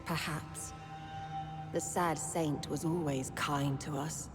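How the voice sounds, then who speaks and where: Slow, cavernous old vampire matriarch. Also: female voice, low-pitched, steady tone. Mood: guarded, proud. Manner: slow, formal, archaic phrasing. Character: old female sewer-dwelling vampire leader, guarded, solemn. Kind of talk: video game voice acting. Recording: long cavernous reverb, music behind.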